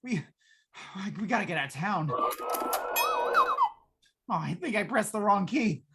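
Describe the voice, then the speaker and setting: A woman playing a frantic, hapless working man in an old-time radio drama, stammering and flustered in a husky voice. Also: mid-range voice, animated, a little slow, heavy background noise. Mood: flustered, pleading.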